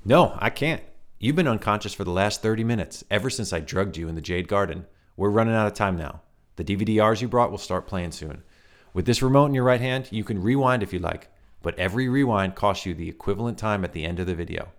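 A man voicing a wiry male stranger with a light, reedy voice who talks in a calm, cryptic, faintly menacing way. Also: on the low side, quick pace, voice pushed up. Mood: explaining.

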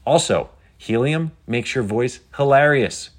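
Man voicing an excitable high-pitched schoolgirl streamer. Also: a little slow, close to an everyday voice. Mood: chatty, teasing. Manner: bubbly, chatty, addressing viewers. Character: excitable chatty schoolgirl livestreamer, bold and geeky. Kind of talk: scripted children's audio story.

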